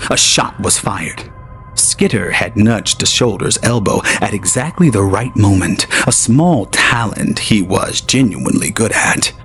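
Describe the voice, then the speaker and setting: A man narrating a science fiction audio drama in a slow, measured storytelling manner. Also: on the low side, a bit bright.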